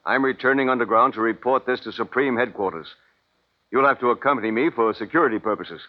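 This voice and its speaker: A man's voice: mid-range voice, fast talker, lightly acted. A man playing a stubborn, commanding army colonel in 1950s radio drama, with a clipped, authoritative delivery. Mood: triumphant, hard. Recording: dull old radio recording.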